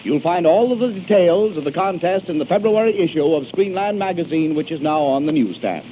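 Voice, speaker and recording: A man's voice: on the high side, lively intonation, fairly quick. A man working as a radio announcer, reading scripted copy in a 1930s broadcast style. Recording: tinny 1930s radio broadcast, loud music. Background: loud music.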